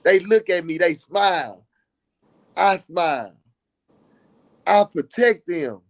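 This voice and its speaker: A man's voice: sits high, lively intonation, slow. A man from Dallas, talking earnestly in a casual live stream and repeating himself for emphasis. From Dallas, Texas.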